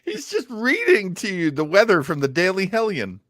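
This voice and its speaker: A man's voice: high voice, huge pitch swings, normal speed, a bit bright, dry recording, clean studio sound. A man co-hosting a comedy podcast with casual, wisecracking reaction chat. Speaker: podcast co-host.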